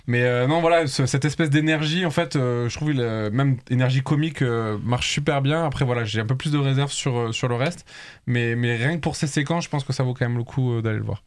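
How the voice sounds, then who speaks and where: A man on a casual film podcast, giving measured, hesitant opinions with many fillers. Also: mid-range voice.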